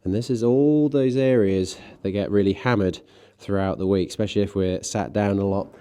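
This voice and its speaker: A man with a stuffy, slightly nasal voice from a cold, calmly guiding a stretching class with light self-mocking asides. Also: fairly low pitch, normal speed, smooth. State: congested from a cold, a bit nasal. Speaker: fitness instructor.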